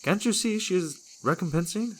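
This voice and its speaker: A man's voice: sits high, lively intonation, normal speed, fairly bright tone. A man playing an earnest, sensible archer hero who is a little bumbling with words, in a fantasy audio drama.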